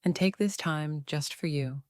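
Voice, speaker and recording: A woman narrating a guided meditation in a soothing, unhurried way, leaving long pauses between phrases. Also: sits low, darkish tone, no room sound, studio quality.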